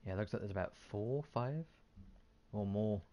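A man's voice: lowish voice, normal speed, mellow. A man giving casual gameplay commentary as a streamer, half muttering to himself in a self-deprecating way. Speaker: gaming streamer. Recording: faint game sound behind voice.

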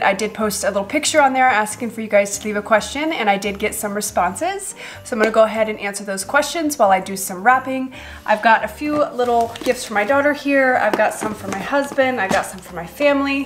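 A woman in her thirties with a stuffy, nasal voice from a cold, chatting casually and relaxed with many ums while she wraps gifts in her kitchen. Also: on the high side, fairly quick. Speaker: lifestyle vlogger. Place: echoey home kitchen and living room.

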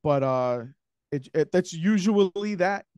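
Brief: talkative New York guy in his forties, a bit high-pitched, dry studio mic. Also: unhurried, smooth, no room sound. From Queens, New York. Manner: talkative, animated, gestures while talking. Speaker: guest screenwriter. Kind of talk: casual interview chat. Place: home room with shelves. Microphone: studio mic with headphones, video call.

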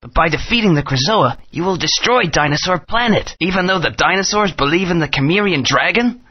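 A man's voice: sits high, expressive, a little fast, husky. An actor playing an earnest young male hero who argues back with conviction. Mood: defiant. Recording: lo-fi game audio.

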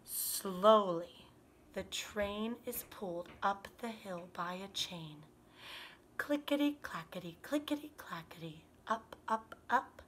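A woman in her thirties, a kindergarten teacher, reading a picture book aloud to children in an animated, expressive way. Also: mid-range voice, a little hiss or hum. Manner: animated, expressive, drawn-out emphasis on words. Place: small living room by a fireplace, indoors.

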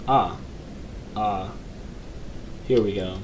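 A young man with a low voice commentating a horror game from his bedroom, joking with mock bravado and reading the game's text aloud. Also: extremely slow. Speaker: gaming YouTuber. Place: small bedroom, indoors. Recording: noisy home recording.

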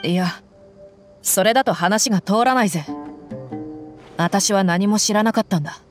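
Actress as a tough young mercenary woman for a game dub, blunt, rough talk, mid pitch, faint music underneath. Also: normal speed, lightly acted. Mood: disbelieving.

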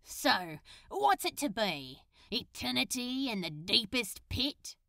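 A woman playing a cocky male hero, bright and forceful. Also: fairly high pitch, big pitch swings, slow, vocal fry, no room sound, studio quality, heavily acted. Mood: wry, unimpressed. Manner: casual, teasing, drawling. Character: cocky, sarcastic male superhero, captive but unimpressed. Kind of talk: fan fiction audiobook character voice.

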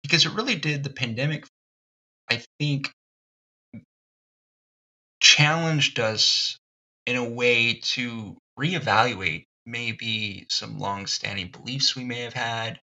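Gravelly bright-toned man musing aloud. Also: medium pitch, highs cut off. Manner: thoughtful, halting, many ums and restarts. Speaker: podcast host. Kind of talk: reflective solo podcast monologue.

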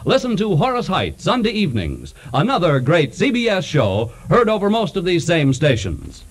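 A man's voice: high voice, huge pitch swings, normal speed. A man with an American accent announcing in polished, declamatory 1940s radio promotional style.

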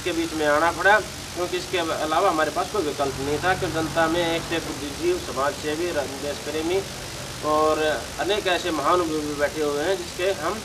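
A man, giving explanatory interview answers in a halting way, often correcting his words. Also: steady tone. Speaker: interview guest, contest organiser. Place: seated outdoors in front of banners. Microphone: handheld news microphone held to him. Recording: noisy outdoor sound, idling vehicle rumble.

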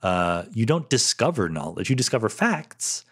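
A man's voice: lowish voice, big pitch swings, moderate pace, fairly bright tone, slightly rough. A middle-aged man hosting a solo podcast, answering listener questions candidly with fillers like "um" and "you know". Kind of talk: solo podcast Q&A, thinking aloud.

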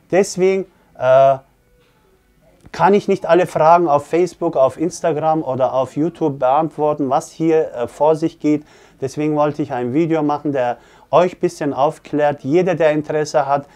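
A man in his forties, a fitness coach, explains earnestly to camera with hesitant, pause-filled phrasing. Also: a little high, dark tone. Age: 40s. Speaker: fitness coach and gym owner. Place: small indoor office.

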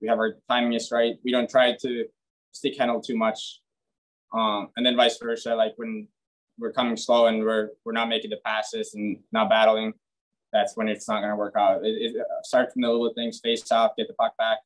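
A man's voice: lowish voice, completely flat delivery, moderate pace, low-quality recording. A young man, a hockey player, answering reporters in a post-game video interview, blunt and plain-spoken with frequent restarts. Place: team media room, press backdrop, indoors.

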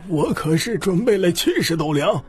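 Man voicing an eager, high-pitched commoner. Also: lively intonation, moderate pace, soft background music, some chatter behind. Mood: excited, eager. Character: eager, flighty male commoner in a crowd.

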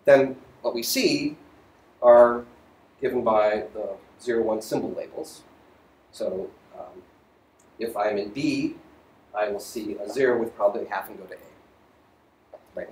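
A man giving an informal university physics lecture, halting as he thinks aloud. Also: on the low side, a little mellow. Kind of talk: lecture voiceover on slides, informal explaining. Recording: roomy echo, faint background noise.

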